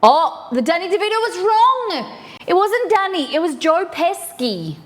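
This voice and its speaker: A woman's voice: very high voice, big pitch swings, a little slow. A woman hosting a live stream, chatting casually and reading trivia clues aloud to her viewers while baking in her kitchen. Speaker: streamer host.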